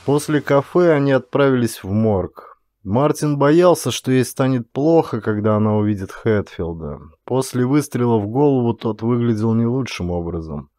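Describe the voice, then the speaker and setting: Male narrator for dramatic fiction audiobook, warm dark tone, mid pitch, dry studio-close sound. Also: lively intonation, normal speed, hardly any pauses, dry recording.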